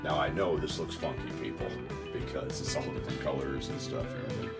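A man's voice: a bass voice, fast, gravelly, over loud music. A man filming a casual how-to vlog in a large indoor workspace, talking folksy and enthusiastic with lots of fillers. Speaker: haunted attraction builder.

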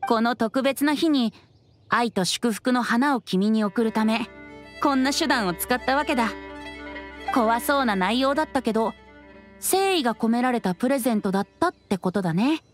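A man voicing a playful, poetic bard boy in an anime game, with a light androgynous voice and a smooth, teasing manner. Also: very high-pitched, lively intonation, normal speed, faint music behind. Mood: lyrical. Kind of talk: Japanese anime game voice acting.

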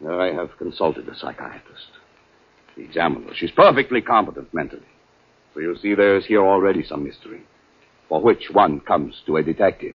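An actor playing an agitated, formal doctor and aggrieved husband in a 1940s radio drama, halting and overwrought. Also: male voice, low voice, animated, breathy edge, heavily acted. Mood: anxious, insistent. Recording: dull 1940s radio recording.

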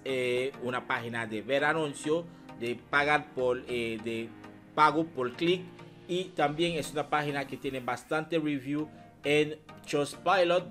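A man's voice: medium pitch, a bit bright. Man chatting over faint music. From Haiti. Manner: repetitive, addresses viewers as "señores", many fillers. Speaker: channel host. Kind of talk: screen-share tutorial, talking to viewers.

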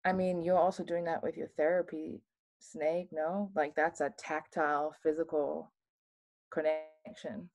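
Lady on a video call, talks real slow, lowish voice, picks her words. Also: flat delivery, darkish tone. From Munich, Germany. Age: 30s. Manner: slow, thoughtful, searching for words. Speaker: participant. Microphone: laptop webcam on video call.

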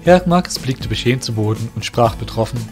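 A man reading the narration of an amateur radio play, in a steady, measured way. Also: medium pitch, faint music behind. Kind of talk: scripted storytelling narration.

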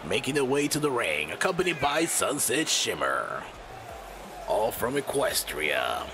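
A man's voice: medium pitch, sing-song, a little slow, over music. A man streaming a wrestling game, announcing matches and joking with his chat while losing his voice. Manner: giggly, chatty, jokes with chat. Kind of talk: playful wrestling ring announcing and commentary.

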